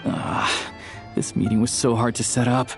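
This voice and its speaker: A man's voice: mid-range voice, level tone, measured pace, vocal fry, music in the background. A man playing a watchful, wry onlooker who comments dryly in scripted video game dialogue.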